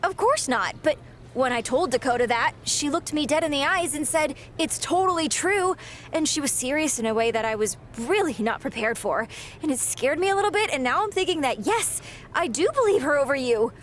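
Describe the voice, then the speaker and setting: A woman playing an earnest, dramatic young wrestler friend in a game cutscene, expressive throughout. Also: high-pitched, brisk, a little hiss or hum. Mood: dismayed. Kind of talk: video game cutscene acting.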